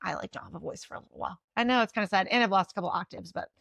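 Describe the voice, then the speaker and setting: A woman in her thirties with a New England accent talks fast and casually as an interview guest. Her voice has a raspy edge, and she fills her sentences with "like." The recording is dry and close. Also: medium pitch, fairly bright tone, dry recording. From Connecticut, USA. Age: 30s. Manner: fast-talking, says "like" often. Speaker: podcast guest.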